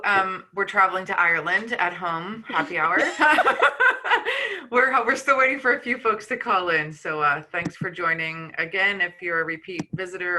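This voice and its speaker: Bright-voiced woman hosting a video call. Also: on the low side. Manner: upbeat, laughs easily. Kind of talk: casual video-call chat and welcome.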